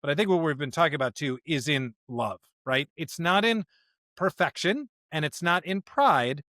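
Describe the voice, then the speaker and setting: A man's voice: a little high, animated, normal speed, sharp tone, dry recording, clean studio sound. A man co-hosting a podcast with conversational theological commentary, stressing his points with asides and rhetorical questions. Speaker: podcast host.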